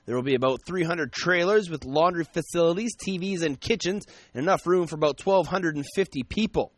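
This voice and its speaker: A man reading the news on the radio in a brisk, even newscaster delivery from a studio. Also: fairly high pitch, no room sound. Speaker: radio newsreader.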